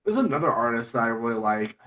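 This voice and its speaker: A man interviewing on a podcast, chatting casually like an eager fan in a halting way. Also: medium pitch, sing-song, fairly bright tone. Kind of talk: casual chat.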